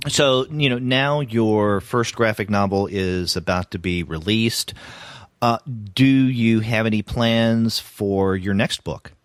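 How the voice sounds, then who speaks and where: A man's voice: fairly low pitch, slow, clear, resonant tone, dry recording. A man hosting a podcast, asking an interview guest questions and answering with brief, attentive acknowledgments.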